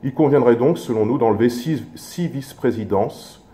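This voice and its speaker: A man speaking as an opposition councillor in a formal political speech, measured, with halting, self-corrected phrasing. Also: medium pitch, dark tone.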